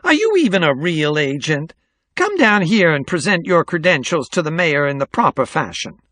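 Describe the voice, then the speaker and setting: A man acts out an imperious woman official in a storybook drama. She speaks far higher than a narrator's voice, shrill, haughty and full of indignant demands. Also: sing-song, normal speed, bright, forceful tone, dry recording, studio quality.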